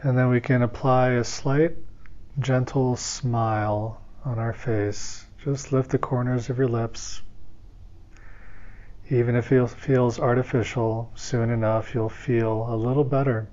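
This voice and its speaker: A man leading a guided meditation as its teacher, speaking gently and soothingly, in an unhurried way with long pauses. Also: mid-range voice, steady tone, dull audio.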